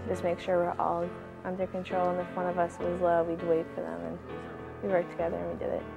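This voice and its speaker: A woman's voice: on the low side, completely flat delivery, a little fast, gravelly, over music. A teenage American girl giving casual, unscripted interview answers. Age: teenager, about 15.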